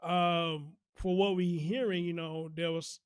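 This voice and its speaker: A man's voice: on the high side, steady tone, slow, clean tone. A man hosting a casual call-in talk show, speaking sympathetically and murmuring acknowledgments as he listens.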